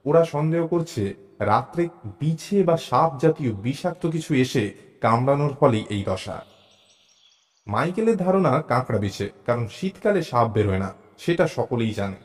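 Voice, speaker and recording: A man narrating a first-person suspense story in a measured, dramatic way. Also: mid-range voice, animated.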